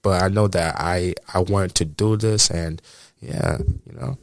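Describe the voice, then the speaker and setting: A man's voice: deep voice, steady tone, unhurried, vocal fry, dry recording. A man in his twenties hosting a podcast, talking casually and rambling, with lots of "you know what I'm saying". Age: 20s. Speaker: podcast host. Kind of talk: casual chat.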